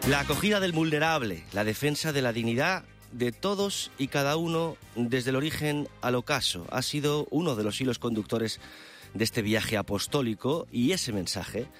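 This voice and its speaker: A man's voice: mid-range voice, expressive, normal speed, fairly bright tone. A man presenting a live radio news chronicle in a descriptive, eloquent manner. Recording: music bed under the voice. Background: background music.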